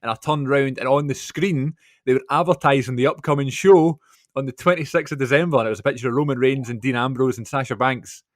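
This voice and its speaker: A man co-hosting a podcast, telling an excited story in casual chat. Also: medium pitch, no room sound, clean studio sound. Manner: fast, animated storytelling. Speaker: podcast co-host.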